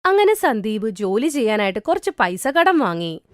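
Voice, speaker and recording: A woman storyteller with a high voice and very lively intonation. She narrates a scripted children's tale in a dry, close recording. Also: normal speed, dry recording.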